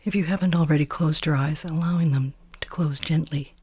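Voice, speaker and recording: Soft, breathy woman guiding meditation. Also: sits low, steady tone, fairly quick, dry recording, studio quality. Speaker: meditation teacher. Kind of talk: guided body scan meditation.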